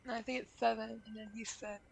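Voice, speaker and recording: A woman's voice: on the high side, flat delivery, fast talker, vocal fry, breathy edge, rough recording. A woman chatting casually while streaming a game.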